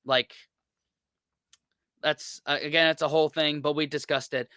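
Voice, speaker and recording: A man's voice: fairly high pitch, flat delivery, moderate pace, pushed and bright, smooth, no room sound, clean studio sound. A man hosting a reaction video, talking casually and rambling with lots of fillers. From United States. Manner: rambling, filler-heavy, laid-back. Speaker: reaction channel host.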